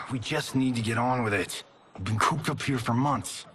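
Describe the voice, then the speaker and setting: An actor playing a vain, cocky action movie star in a video game, sarcastic, breezy and boastful. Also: male voice, mid-range voice, unhurried, noisy background, natural voice. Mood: exasperated. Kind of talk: video game cinematic acting.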